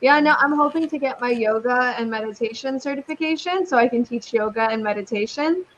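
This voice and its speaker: A woman's voice: fairly high pitch, a little fast, highs cut off, slight background noise. A young woman from California with a Californian American accent, tutoring English over a video call in a chatty, upbeat way with casual slang. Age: young adult.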